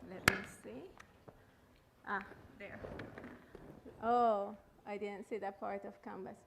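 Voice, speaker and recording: A woman's voice: mid-range voice, fairly even intonation, takes it slowly. A woman with a Peruvian Spanish accent giving a personal talk as a guest speaker, hesitant, nervous and self-deprecating. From Peru. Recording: echoey room, clear background noise.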